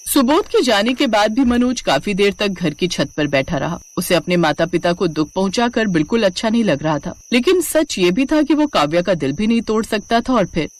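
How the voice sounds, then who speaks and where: A woman's voice: mid-range voice, very animated, moderate pace, few pauses. A woman narrator reading a scripted family story in storybook style.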